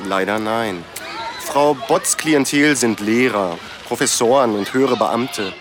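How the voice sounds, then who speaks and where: An actor playing a sober, matter-of-fact election analyst, explaining things in a radio play. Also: male voice, medium pitch, takes it slowly, crowd noise, close to an everyday voice. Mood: dry, explanatory. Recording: clearly noisy outdoor ambience.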